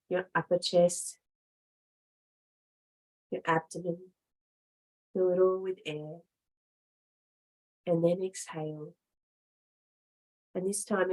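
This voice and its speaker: A woman with a fairly low voice leads a guided relaxation as a yoga teacher. She gives measured instructions in short phrases, leaving long pauses between them. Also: dark tone, low-quality recording.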